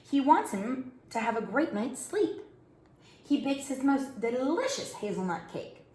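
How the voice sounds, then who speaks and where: A woman's voice: fairly high pitch, sing-song, measured pace, a little mellow. A woman working as a storyteller reads a picture book aloud expressively, addressing young children. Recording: roomy, echoing indoor sound.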